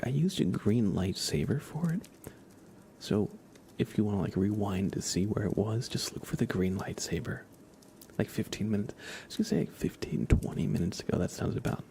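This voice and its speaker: A man chatting softly as an ASMR livestream host, in a gentle hushed voice that often drops into whispers. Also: fairly low pitch, gravelly, a little hiss or hum. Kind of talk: soft-spoken ASMR livestream chat.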